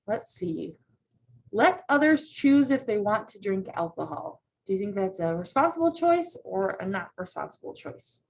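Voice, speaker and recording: A woman's voice: mid-range voice, sing-song, moderate pace, rough recording. A woman teaching a simple lesson, patiently reading choices aloud and checking in with her students. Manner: patient, checks in with frequent "right?" questions. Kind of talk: classroom lesson read-aloud.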